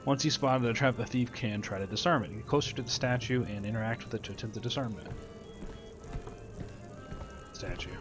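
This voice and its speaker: A man's voice: mid-range voice, a little fast, long pauses, over music. A man giving casual gameplay commentary, muttering to himself and reading tips aloud. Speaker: game video host.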